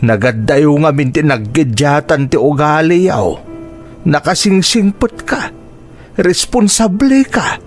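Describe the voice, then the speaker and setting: A man's voice: on the high side, animated, slow, faint music behind. An actor with an Ilocano Filipino accent playing a stern, burdened older father in a radio drama. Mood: admonishing.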